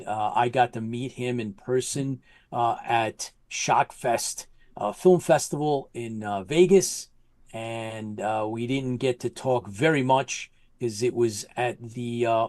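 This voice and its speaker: Guy hosting a live stream, mid pitch, lots of uh and you know, dry close sound. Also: darkish tone, no echo. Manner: rambling, lots of fillers and restarts. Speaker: show host. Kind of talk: casual livestream chat.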